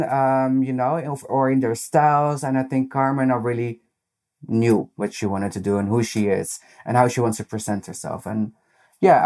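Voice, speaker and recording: A man's voice: medium pitch, a little mellow. A man with a Dutch accent, talking casually as an interview guest about drag and filling his sentences with "you know". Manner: chatty, frequent "you know" fillers.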